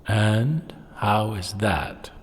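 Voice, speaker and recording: An actor playing a stern, demanding king in an audio drama, with a rough husky voice and a weighty, imperious manner. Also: lowish voice, expressive, drawn-out words, long reverb tail, slight background noise, exaggerated voice. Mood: skeptical.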